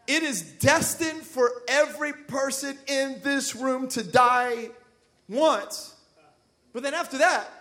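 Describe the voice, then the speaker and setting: Man, pastor, very high voice, casual jokey preaching, loud emphatic build-ups. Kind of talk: sermon. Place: church sanctuary.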